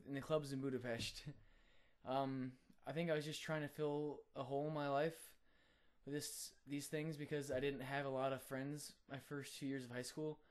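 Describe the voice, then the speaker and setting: A young man, a college student, sharing a personal faith testimony in an earnest, reflective way with frequent filler pauses. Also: mid-range voice, clear, resonant tone. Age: young adult.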